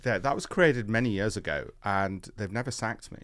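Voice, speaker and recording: A man in his fifties from Leeds, a podcast guest chatting casually in an animated, joking way with quick asides and frequent restarts, recorded in a podcast studio on a desk mic. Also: on the low side. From Leeds, England. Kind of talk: casual interview chat. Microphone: desk mic on a boom arm.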